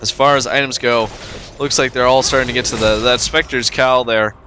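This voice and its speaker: Man commentating a game, stuffy from a cold, bright tone, easygoing, filler-heavy. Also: mid-range voice, normal speed, a little hiss or hum. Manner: relaxed play-by-play with frequent fillers. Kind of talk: casual gameplay commentary.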